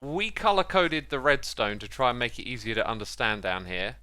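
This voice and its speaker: Man, gaming video host, bright forceful voice, walks you through stuff step by step, dry close sound. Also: mid-range voice, expressive, moderate pace, clear, resonant tone, no room sound. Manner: explanatory, step by step. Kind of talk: casual chat while playing and explaining a game build.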